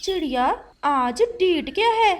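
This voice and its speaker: A very high-voiced female crow from a children's cartoon, acted by a woman. She is sulky and theatrical, complaining with lively, swooping intonation. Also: slow, a little hiss or hum, exaggerated voice. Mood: pointed, questioning. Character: sulky, dramatic female crow wife upset about forgotten birthday. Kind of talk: Hindi children's cartoon voice acting.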